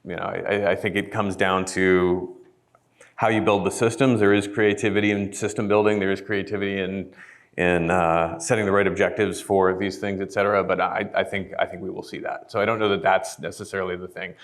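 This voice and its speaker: A man speaking thoughtfully as a panelist, measured and reflective. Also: deep voice, flat delivery. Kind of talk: panel discussion.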